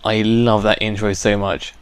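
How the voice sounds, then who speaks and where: A man's voice: lowish voice, little pitch movement, a little slow, clear, resonant tone, no room sound. A man with a British accent giving casual commentary while playing a video game. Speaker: gaming video host. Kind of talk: casual let's play commentary.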